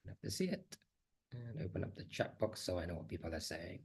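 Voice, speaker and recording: A man's voice: sits low, fast, gravelly, husky. A man with a slight cold giving a chatty, friendly online conference talk as a teacher trainer, on a computer mic over a video call. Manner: friendly, chatty, self-deprecating.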